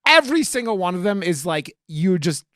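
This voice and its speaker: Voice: mid-range voice, big pitch swings, fairly quick, fairly bright tone, no room sound. Profane, rambling podcast host, dry close sound. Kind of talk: casual chat, movie discussion.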